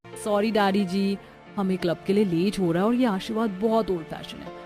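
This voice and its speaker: A woman's voice: medium pitch, normal speed, a little mellow, lightly acted. A woman voicing a defiant, spoiled young daughter-in-law in a cartoon, brash and dismissive. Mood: brazen, dismissive. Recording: music under the voice.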